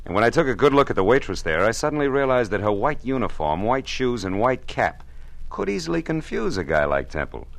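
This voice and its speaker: A man's voice: medium pitch, big pitch swings, brisk, vocal fry, no room sound. An actor playing a hard-boiled male private detective who narrates in a dry, wisecracking way, in a 1940s radio drama. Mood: explaining. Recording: old radio broadcast with faint music.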